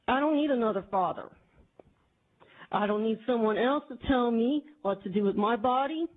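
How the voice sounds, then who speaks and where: A woman in her forties from Houston with a Texan accent, testifying with indignation at a committee hearing. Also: fairly high pitch. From Houston, Texas. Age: 40s. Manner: impassioned, indignant, repetitive. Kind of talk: public testimony. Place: legislative committee hearing room. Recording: tinny, phone-like archive audio.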